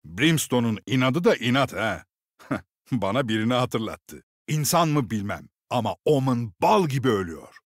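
An actor playing a gruff, middle-aged veteran commander in a video game, barking short, authoritative orders with dry swagger. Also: male voice, mid-range voice, big pitch swings, normal speed, no echo. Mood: dry, teasing. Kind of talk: video game voice lines, short military barks.

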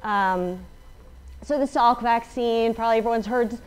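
A woman statistician giving a lively talk to a crowd without a working microphone, speaking energetically with many fillers and questions to the audience. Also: on the high side, a little slow, clear, resonant tone, rough recording. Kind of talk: conference talk. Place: large open office event space. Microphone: no working mic, room pickup from a far camera.

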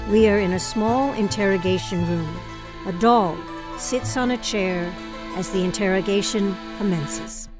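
A woman's voice: medium pitch, unhurried. Woman podcast host narrating over music. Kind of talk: scripted narration.

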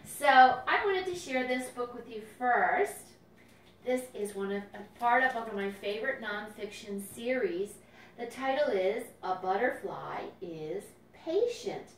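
A woman with a fairly high voice presents a storytime for young children. She explains things simply and expressively, as if talking to little friends. The sound is echoey and a bit distant from the microphone. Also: expressive, a little slow, a little hiss or hum. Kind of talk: library storytime read-aloud and nature explaining for young children. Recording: roomy echo, distant microphone.

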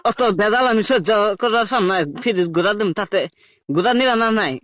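Man as a high-pitched, nagging old village woman. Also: a little fast, a bit bright, a little hiss or hum. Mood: indignant, insistent. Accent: rural eastern Bengali. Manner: plaintive, nagging, rambling. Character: poor unschooled old village woman, stubborn complaining customer. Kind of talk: comic phone-call skit.

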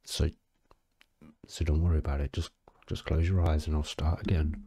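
A tipsy man reading a bedtime story in a deadpan, sweary way, stumbling now and then. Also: rumbling low, creaky, slightly rough. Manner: slow, sweary, deadpan, stumbling over words. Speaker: story reader. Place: alone in a quiet, dry-sounding space.

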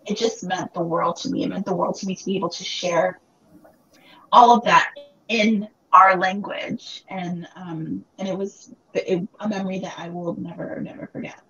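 A woman in her thirties whose first language is Spanish, an author answering students' questions in a reflective, warm way over a video call. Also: lowish voice, fairly even intonation, moderate pace, highs cut off. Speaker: author guest. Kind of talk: virtual book club Q&A. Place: home room, video call. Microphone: webcam or laptop mic on video call.

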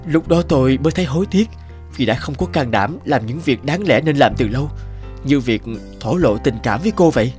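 An actor playing a shy, heartfelt man in an animated romance, speaking hesitantly and earnestly. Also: medium pitch, fairly quick, soft background music, lightly acted. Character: shy, heartfelt man confessing his love. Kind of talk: animated drama voice acting.

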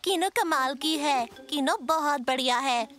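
A woman's voice: sits high, measured pace. A woman voicing a proud, touchy young boy tiger cub who boasts and sulks in a cartoon dub. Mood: boastful. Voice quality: boastful, excitable. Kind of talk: dubbed cartoon voice acting. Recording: studio voice with music behind.

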